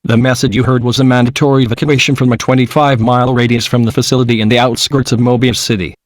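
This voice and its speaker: Man as male news anchor for a fictional bulletin, formal, composed, brisk delivery, dry close sound. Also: medium pitch, level tone, dry recording, close to an everyday voice. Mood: formal, urgent. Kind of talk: scripted newscast.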